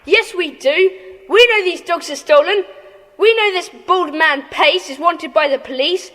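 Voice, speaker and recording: An actor as a very high-voiced bold schoolboy. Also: a little slow, pushed and bright, exaggerated voice. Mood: indignant. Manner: earnest, commanding, urgent. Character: bold, indignant schoolboy leader of a children's gang. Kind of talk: children's audio drama acting. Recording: echoey hall reverb.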